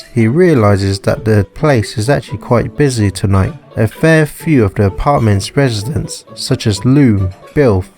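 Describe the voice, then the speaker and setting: A man narrating a scripted story in a measured, formal reading style. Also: mid-range voice, a little mellow. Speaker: narrator and author.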